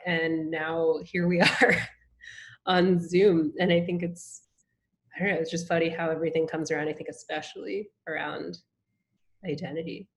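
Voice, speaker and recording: A woman chats casually as a guest on a video call, her voice a touch low for a woman. She fills her sentences with ums and little laughs. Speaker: strategist, guest.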